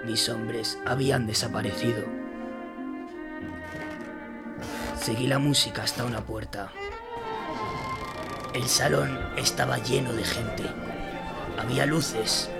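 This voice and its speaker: An actor playing a haunted sea captain who tells his ghost story in a brooding, deliberate, suspenseful way for an audio drama. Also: mid-range voice, steady tone, creaky. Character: haunted old sea captain recounting a ghost ship tale. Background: prominent music.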